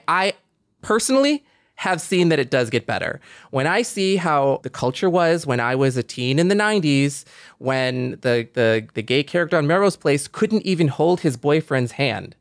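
A man in his forties talking earnestly and optimistically in a reflective podcast conversation, often halting to rephrase. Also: fairly high pitch, dry recording, clean studio sound. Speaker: podcast panelist.

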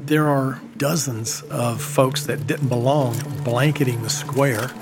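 A man, a former embassy security agent, recounting events in a measured, storytelling way with occasional fillers. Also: mid-range voice, fairly even intonation. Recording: music bed underneath.

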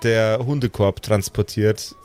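A man acting as game master, narrating an improvised story in a measured, descriptive way, searching for words now and then. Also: lowish voice, fairly even intonation, a little mellow.

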